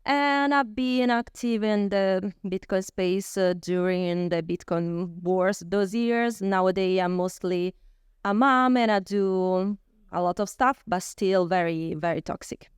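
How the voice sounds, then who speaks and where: A woman's voice: medium pitch, steady tone, takes it slowly, clean tone, no echo, studio quality. Wry Italian-accented woman on a panel. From Italy. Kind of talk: conference panel talk. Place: conference stage.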